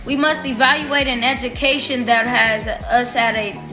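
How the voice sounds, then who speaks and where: A woman reading a speech aloud in a deliberate, halting way. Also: fairly high pitch, steady tone. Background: music.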